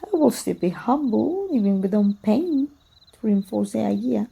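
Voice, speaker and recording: Mellow, husky woman as wounded immortal warrior. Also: mid-range voice, lively intonation, moderate pace, exaggerated voice. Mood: weary, wry. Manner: archaic formal speech, teasing, hesitant murmurs. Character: wounded immortal warrior, proud, teasing, secretly smitten. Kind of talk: ASMR roleplay voice acting.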